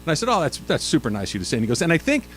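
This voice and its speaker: A man in his fifties co-hosting a sports radio show, telling stories in a lively, self-mocking way. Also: fairly high pitch, racing, soft background music. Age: 50s. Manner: animated storyteller, self-mocking. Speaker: radio host. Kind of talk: casual sports radio banter.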